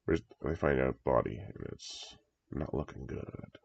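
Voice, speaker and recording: A man's voice: extremely low pitch, unhurried, creaky, darkish tone. A man with a congested voice from a recent cold, chatting eagerly and rambling as he reacts to a horror movie trailer. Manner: enthusiastic, rambling, chuckles often. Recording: dry, dull lo-fi home recording.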